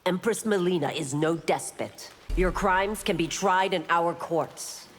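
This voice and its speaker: An actress playing a stern, authoritative constable in a video game, speaking in a firm, formal, commanding way. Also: female voice, lowish voice, unhurried, natural voice. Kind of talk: video game character acting. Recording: long reverb, faint background noise.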